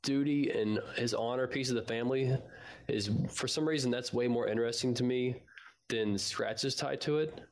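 A man's voice: mid-range voice, normal speed. A man co-hosting a fan podcast, chatting casually about an audio drama in a laid-back, slangy way.

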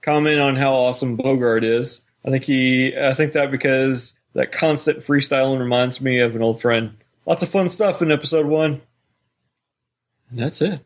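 A man's voice: mid-range voice, normal speed. A man talking casually as a podcast co-host, with an informal, easygoing manner.

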